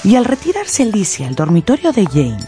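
A woman narrating a scripted radio drama in an expressive storytelling manner. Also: mid-range voice, moderate pace, soft background music.

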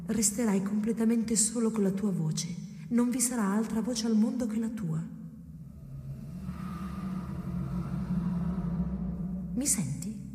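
An actress playing a detached, quietly reproachful wife in an experimental radio drama, speaking in a soft, measured, hushed voice that often nears a whisper. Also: female voice, on the high side, background noise, close to an everyday voice. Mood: coolly reproachful. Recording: echoey with long reverb.

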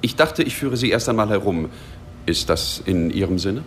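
Formal polite saudi official in radio play, really low voice, faint room noise behind. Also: male voice, lively intonation, moderate pace. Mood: courteous, formal. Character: polished Saudi male official, courteous and formal. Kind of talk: radio drama dialogue.

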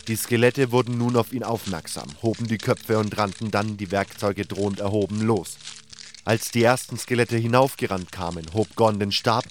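Low-voiced man narrating a fantasy story. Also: steady tone, moderate pace, a little hiss or hum. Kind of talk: scripted first-person story narration.

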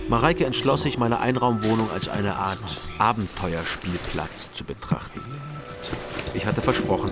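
Low-voiced, guarded male investigator played by an actor, music behind. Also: close to an everyday voice. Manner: understated, matter-of-fact. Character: guarded, sober East German male investigator and narrator. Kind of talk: radio crime drama, first-person narration and dialogue.

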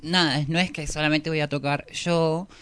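A woman with a smooth, clear voice and a Rioplatense Argentine accent. She talks like a musician being interviewed on radio, casually and full of slang. Her sentences ramble and she often puts herself down. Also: low voice, steady tone, normal speed, fairly bright tone, no echo. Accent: Rioplatense Argentine Spanish. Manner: slangy, self-deprecating, rambling. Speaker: musician guest.

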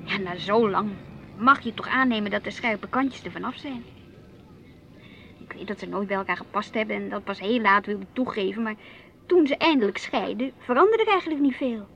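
A woman's voice: medium pitch, animated, fairly quick, gravelly, fairly bright tone, highs cut off. An actress playing an inquisitive, earnest wife and mother in a naturalistic radio play, with a Netherlands Dutch accent. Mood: exasperated. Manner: questioning, earnest. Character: inquisitive, reflective wife and mother, easily piqued at her husband. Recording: noisy outdoor street ambience with traffic.

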